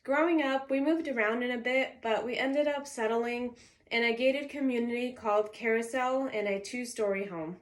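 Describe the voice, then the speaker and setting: A woman's voice: on the high side, moderate pace. A woman of twenty-one, raised in California with Arabic as her first language, reading a prepared introduction speech for a college class in a structured, signposted way. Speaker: college student.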